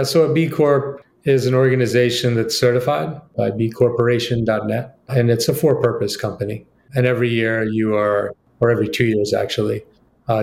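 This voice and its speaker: A man, a company co-founder, talking in an interview in a businesslike, sales-pitch manner with frequent fillers. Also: gravelly, a little mellow, roomy sound. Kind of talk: interview answers.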